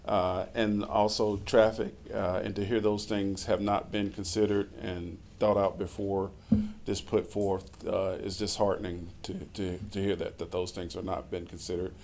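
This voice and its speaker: A man's voice: deep voice, level tone, moderate pace, creaky, a little mellow, a little hiss or hum. A man giving public comment at a city meeting into a podium microphone, hesitant and repeating words. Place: city council chamber.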